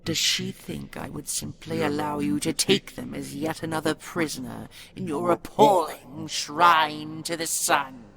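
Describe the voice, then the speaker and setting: A woman playing a proud, possessive female dragon in an audio roleplay, haughty and theatrical, with a gravelly, menacing delivery. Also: low-pitched, steady tone, crowd noise. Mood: scornful. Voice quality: gravelly, breathy growls. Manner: haughty, theatrical, slow deliberate threats.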